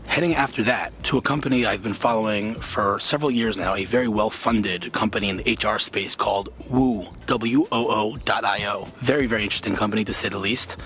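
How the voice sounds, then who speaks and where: A man vlogging and interviewing a guest in a quick, chatty, enthusiastic way full of asides. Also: lowish voice, fairly bright tone, rough recording. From Israel. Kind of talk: casual vlog chat and interview.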